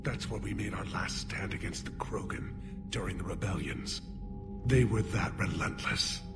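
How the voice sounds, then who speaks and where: An actor voicing a dignified older alien military leader in a video game, grave and guarded, with a measured, formal manner. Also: male voice, low-pitched, loud music behind the voice, close to an everyday voice. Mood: solemn, reflective. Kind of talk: video game cutscene acting.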